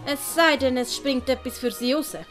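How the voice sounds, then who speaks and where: Young servant woman, acted by the female narrator: shrewd, courteous, lifted pitch, audio play with music. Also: steady tone, moderate pace, a bit bright. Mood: wary, shrewd. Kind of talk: commedia dell'arte audio play acting.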